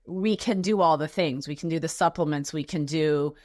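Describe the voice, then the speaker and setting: A woman's voice: sits low, moderate pace, warm tone, no room sound, studio quality. A woman hosting an interview podcast, talking in an effusive, gushing way with frequent restarts. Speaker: podcast host. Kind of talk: warm interview chat.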